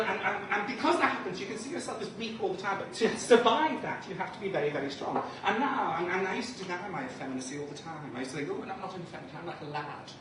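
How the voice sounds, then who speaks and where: Camp drag poet guy from liverpool, high voice, swoops all over, echoey far-off venue sound. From Liverpool, England. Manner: camp, flamboyant, chatty with the crowd. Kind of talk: live stage poetry with banter. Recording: echoey room from far off, noisy.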